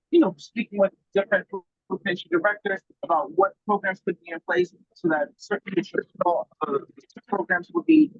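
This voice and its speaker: A man's voice: sits high, slightly rough, no room sound, lo-fi sound. A man who grew up in Germany, a guest food grower, talking earnestly in a casual podcast chat and searching for his words.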